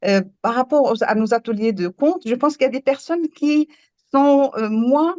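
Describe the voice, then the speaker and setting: A woman's voice: high-pitched, moderate pace, warm tone, no echo. A woman storyteller whose first language is Moroccan Arabic, speaking earnestly and searching for her words in a panel discussion over a remote connection. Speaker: storyteller and workshop leader. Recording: dull dry remote audio.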